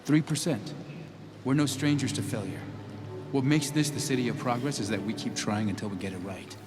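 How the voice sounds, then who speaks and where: An actor playing an earnest, idealistic young inventor turned city councilor in an animated drama, intense and resolute. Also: male voice, mid-range voice, a little fast, lightly acted. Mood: firm, proud. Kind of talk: dramatic animated series dialogue. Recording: dialogue over clear background music. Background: music.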